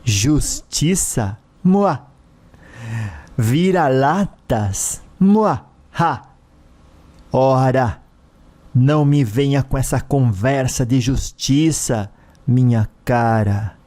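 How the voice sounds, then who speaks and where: Man acting slow, cruel, mocking spirit villain. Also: mid-range voice, mellow. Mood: sneering, menacing. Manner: menacing, sneering, with drawn-out villain laughs. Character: cruel vengeful dead husband, mocking shape-shifting spirit.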